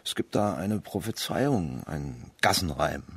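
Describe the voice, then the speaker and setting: Casting: loyal guard officer, extremely deep husky voice, soldierly, dry studio radio drama. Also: male voice, expressive, unhurried, no echo, full character voice. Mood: ominous, dismissive. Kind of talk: German radio play acting.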